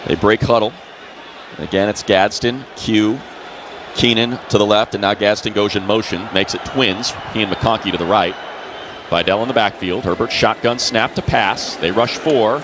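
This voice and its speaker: A man's voice: on the low side, moderate pace. A man doing live radio play-by-play of American football in clipped, descriptive phrases. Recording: crowd and ambient noise behind. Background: stadium crowd noise.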